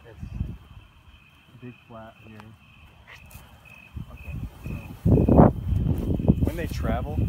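Hushed man in windy night woods. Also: medium pitch. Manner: hushed, excitable, explaining tracks. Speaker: bigfoot researcher. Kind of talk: excited field exploring. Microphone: handheld phone camera. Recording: loud wind and outdoor noise.

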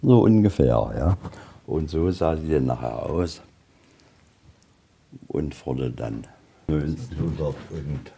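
A man with a husky voice giving an informal, explanatory guided tour as a museum guide. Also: very deep voice, animated, slow pace, pauses often, mellow, slight background noise. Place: indoors in a museum.

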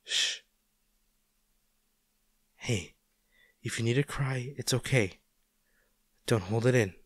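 A man's voice: mid-range voice, slow pace, fairly bright tone, slightly rough, dry recording, clean studio sound. A man acting a caring, worried boyfriend in an intimate audio roleplay, speaking tenderly and coaxingly to his injured partner. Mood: tender reassurance. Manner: tender, coaxing, hesitant, speaking directly to the listener.

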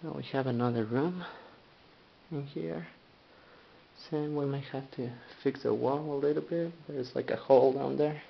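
Man narrating a house walkthrough, halting, gravelly voice, lo-fi camcorder sound. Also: mid-range voice, fairly even intonation. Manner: halting, restarts often, plain speech. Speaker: home tour guide. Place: inside a manufactured house. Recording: muffled lo-fi camcorder audio with faint noise.

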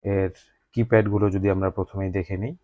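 Low-pitched Bangladeshi man, dry close sound. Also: level tone, quick pace, mellow, no room sound. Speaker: host of gadget unboxing channel. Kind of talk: scripted product review narration.

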